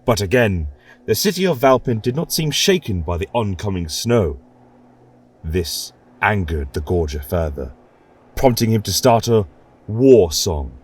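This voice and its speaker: A man narrating a dark fantasy history in the manner of a lecturer, with theatrical, ominous pauses. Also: on the low side, sing-song, slightly rough. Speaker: storyteller posing as a history lecturer. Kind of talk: dramatic fantasy storytelling.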